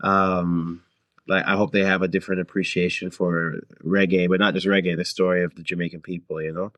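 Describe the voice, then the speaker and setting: A man speaks as a podcast guest in a low-pitched voice. His delivery is conversational and reflective, as he thinks aloud and checks in with the listener. The recording is dry and free of room sound. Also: flat delivery, fairly quick, fairly bright tone, dry recording. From Toronto, Canada. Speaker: podcast guest, singer-songwriter. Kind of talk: casual interview conversation.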